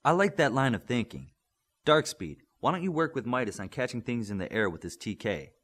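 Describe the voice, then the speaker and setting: A man's voice: mid-range voice, very animated, fairly quick, fairly bright tone. Man voicing a blunt, seasoned superhero coach. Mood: approving. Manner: blunt, instructive. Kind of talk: audiobook character voice.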